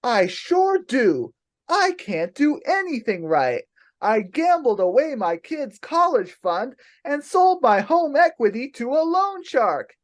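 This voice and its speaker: Woman playing a hapless, animated commercial customer. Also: on the high side. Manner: exaggerated testimonial delivery. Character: hapless, distressed woman in mock financial commercial.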